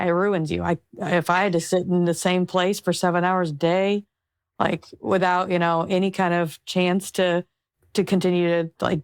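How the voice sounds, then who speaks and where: A woman hosts an interview podcast, talking in an eager, upbeat way. Her voice sits a little low with a gravelly texture. The recording is close and dry. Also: no echo. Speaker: podcast host. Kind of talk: casual interview conversation.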